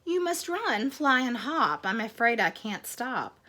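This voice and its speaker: A woman's voice: on the high side, sing-song, measured pace, bright, forceful tone, exaggerated voice. A woman voicing a big, apologetic, easily frightened elephant in a dramatic children's storybook reading. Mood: sad, apologetic. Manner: exclaiming, dramatic, repeating words. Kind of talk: animated children's storybook read-aloud.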